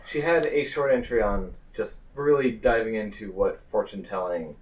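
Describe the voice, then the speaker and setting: A man narrating a tabletop roleplaying game as game master, pausing between phrases. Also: lowish voice, recorded from a distance, slight background noise, rough recording.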